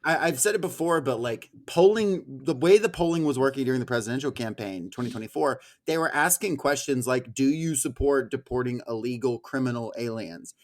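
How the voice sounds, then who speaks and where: An American man hosting a casual political discussion, talking in a halting way with lots of filler words. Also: mid-range voice. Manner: halting, filler-heavy, peppered with "like". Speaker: podcast host.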